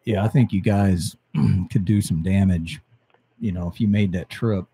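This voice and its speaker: Mellow low-voiced man hosting a radio show. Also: measured pace, husky. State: voice getting hoarse. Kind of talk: casual interview chat.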